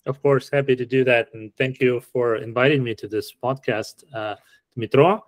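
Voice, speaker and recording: A middle-aged man from Ukraine answering interview questions in a relaxed, explanatory way with frequent fillers. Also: mid-range voice, moderate pace, no echo. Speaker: podcast guest.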